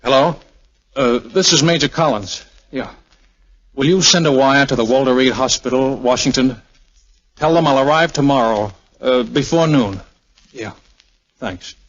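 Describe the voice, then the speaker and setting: A man's voice: mid-range voice, unhurried, long pauses. An American man playing a tender, brave army major keeping bad news to himself, in 1940s radio drama style. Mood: businesslike. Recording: muffled vintage 1940s radio recording.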